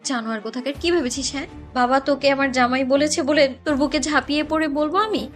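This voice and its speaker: Casting: woman as spoiled young heiress bride, high voice, haughty and venomous, audio drama over music. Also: steady tone, fast, lightly acted. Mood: furious, contemptuous. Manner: haughty, insulting, scolding. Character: arrogant, hot-tempered young rich bride, scornful and cruel. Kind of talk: Bengali audio story drama.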